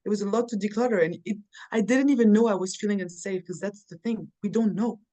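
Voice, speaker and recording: A woman's voice: medium pitch, fast talker, dark tone. Earnest woman from Belgium telling her story. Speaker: podcast guest. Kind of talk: casual conversation.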